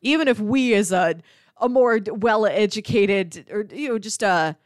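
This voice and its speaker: A woman's voice: medium pitch, moderate pace, dry recording, clean studio sound. A woman co-hosting a podcast, casually analysing a film in an analytical way, with emphatic asides and paraphrases. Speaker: podcast co-host discussing a film.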